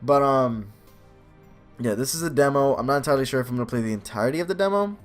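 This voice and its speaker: A man's voice: mid-range voice, quick pace. A young man hosting a let's play, commenting casually in a rambling, self-deprecating way. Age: young adult. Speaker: gaming video host. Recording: faint game music behind voice. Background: game music.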